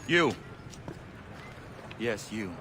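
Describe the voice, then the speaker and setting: An actor playing a stoic, resolute young warrior in a video game, speaking in terse, serious, measured lines. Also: male voice, on the low side, lively intonation, bright, forceful tone, heavily acted. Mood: stern. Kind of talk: dramatic game dialogue. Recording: clear outdoor street noise.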